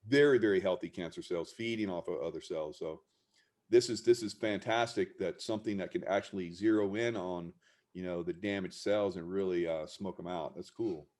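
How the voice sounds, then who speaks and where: A man hosting an interview, reacting and reflecting in a relaxed, affirming way. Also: deep voice, normal speed. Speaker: host. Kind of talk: casual interview talk.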